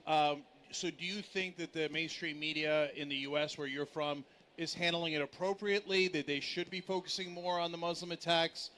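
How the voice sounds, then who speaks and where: Emphatic man moderating, slightly high voice. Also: bright, forceful tone, slight background noise. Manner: emphatic, argumentative. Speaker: panel moderator. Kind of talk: live panel discussion at a conference. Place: conference stage.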